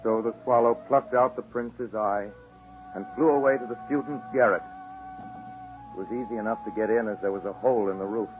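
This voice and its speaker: A man giving scripted storybook narration in measured phrases, in the style of 1940s radio drama. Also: on the low side, steady tone, phone-quality sound, close to an everyday voice. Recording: 1940s radio broadcast over music.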